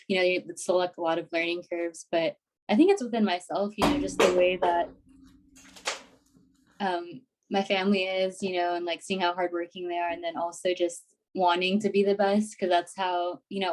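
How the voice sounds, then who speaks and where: A young woman in her twenties chatting as a podcast guest, her voice sitting a bit low for a woman. She talks easily and informally, leaning on "like" and "you know" as she goes. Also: darkish tone, poor audio. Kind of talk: casual interview chat.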